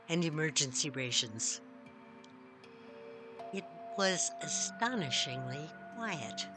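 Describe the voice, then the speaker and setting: Woman telling a first person story, really slow and measured, music clearly playing behind her. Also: sits low. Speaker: storyteller reading own short story. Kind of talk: first-person scripted narration.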